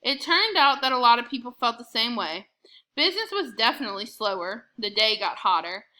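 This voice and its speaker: A woman reads a children's chapter book aloud as a storyteller. Her voice sits a little high and has a very bright, forward edge. The delivery is expressive and clearly scripted. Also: brisk. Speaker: read-aloud narrator.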